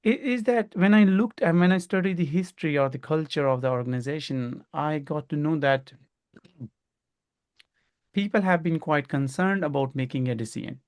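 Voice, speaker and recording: A man with a Pakistani accent and a slightly high voice hosts an interview. He speaks conversationally and haltingly, often repeating and rephrasing as he looks for the right words. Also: darkish tone. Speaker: podcast host.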